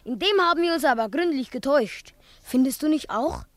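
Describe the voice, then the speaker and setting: A woman's voice: sits high, animated, fairly quick, dry recording. A woman playing a brash, good-hearted schoolboy. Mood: encouraging. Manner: blunt, cheerful, encouraging. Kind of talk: 1950s radio play acting. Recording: dry studio recording.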